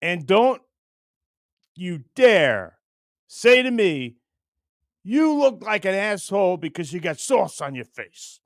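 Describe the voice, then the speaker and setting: Higher-voiced middle-aged man rambling on a livestream. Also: sing-song, takes it slowly, long pauses, no echo, studio quality. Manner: crude, blunt, jokey. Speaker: livestream show host. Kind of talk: casual, rambling livestream chat.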